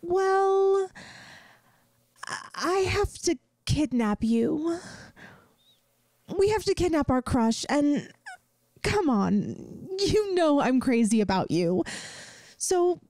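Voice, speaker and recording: A woman's voice: sits high, sing-song, slow, pauses often, a little mellow, no room sound, clean studio sound, heavily acted. A woman playing a bubbly, inept, lovestruck young yandere student in an audio roleplay, rambling and pleading dramatically with the listener. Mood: anxious, confiding. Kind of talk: scripted ASMR audio roleplay, addressing the listener.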